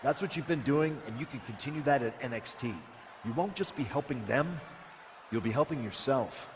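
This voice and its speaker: A man's voice: mid-range voice, normal speed, close to an everyday voice. Actor as firm male wrestling manager, noisy venue. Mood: supportive, earnest. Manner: earnest, persuasive. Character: firm, supportive male wrestling general manager and old friend. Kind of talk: video game cutscene acting. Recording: noisy venue with crowd behind.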